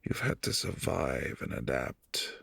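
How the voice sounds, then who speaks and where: A man voicing a brooding, lonely vampire prince in an ASMR roleplay, speaking softly and intimately in halting phrases, close to the mic. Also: a bass voice, very animated, vocal fry, husky, dry recording, exaggerated voice. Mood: wistful. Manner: soft-spoken, intimate, halting.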